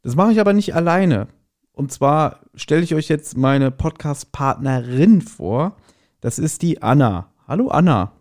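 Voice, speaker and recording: A man's voice: on the high side, expressive, measured pace, a little mellow. A man hosting a podcast in a casual, chatty and self-ironic manner. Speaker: podcast host.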